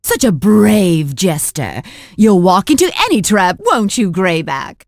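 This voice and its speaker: A woman's voice: medium pitch, sing-song, unhurried, creaky. An actress playing a cruel, haughty sorceress villain in a video game, mocking and theatrical, drawing out her words.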